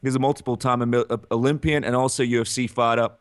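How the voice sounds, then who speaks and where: A man's voice: medium pitch, fairly even intonation, quick pace, clear, resonant tone, no echo. A man from Ukraine co-hosting a combat sports podcast in casual chat, laughing often as he talks. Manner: chatty, laughs often, promotes channels. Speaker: podcast co-host.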